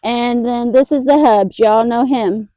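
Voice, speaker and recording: A woman hosts a relaxed food vlog outside at a campground at night. Her voice sits a little high but sounds warm and mellow. She talks in a slow, drawn-out way, full of fillers, and the sound is dry and close. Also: no echo, poor audio. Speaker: vlog host. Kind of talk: casual food vlog chat.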